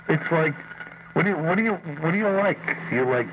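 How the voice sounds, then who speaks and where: Animated man on crackly shortwave radio. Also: fairly high pitch, brisk. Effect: shortwave radio transmission. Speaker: amateur radio operator. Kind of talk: casual chat over shortwave ham radio. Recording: dull, noisy shortwave radio reception. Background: radio static.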